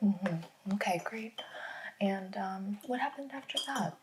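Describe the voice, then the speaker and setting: A woman playing a calm, patient detective in a soft-spoken ASMR roleplay, often dropping into a whisper as she gently probes and reassures. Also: mid-range voice, slow pace, very noisy. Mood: patient, reassuring.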